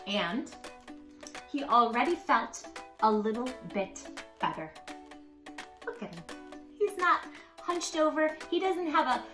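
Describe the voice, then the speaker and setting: A woman hosting a read-aloud for young children, expressive and emphatic, speaking straight to the listener. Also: high voice, slow pace, long pauses, roomy sound, soft background music. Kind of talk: animated picture-book reading for young children.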